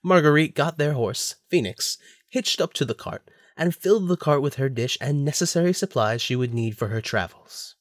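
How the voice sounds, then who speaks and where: A man's voice: on the high side, animated, moderate pace, no echo, studio quality. A man narrating a scripted story for an audio drama.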